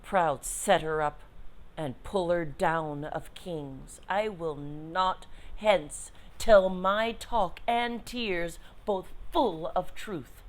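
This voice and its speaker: Slow, low-voiced grieving queen played by an actress. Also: poor audio. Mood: indignant. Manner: formal, declamatory, deliberate. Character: proud, grieving exiled queen pleading for her son. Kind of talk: Shakespearean stage-style audio drama acting.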